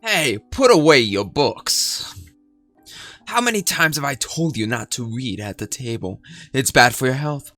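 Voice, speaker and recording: Brief: a man putting on a stern scolding mother, loud and nagging, slow delivery. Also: mid-range voice, big pitch swings, soft background music.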